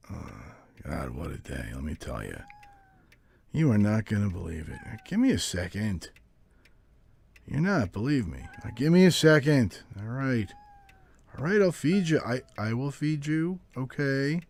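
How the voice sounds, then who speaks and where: An actor playing a jumpy police detective who rambles haltingly and mutters in an audio horror drama. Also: male voice, fairly low pitch, big pitch swings, heavily acted. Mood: weary, rambling. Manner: halting, muttering, bursting into yells.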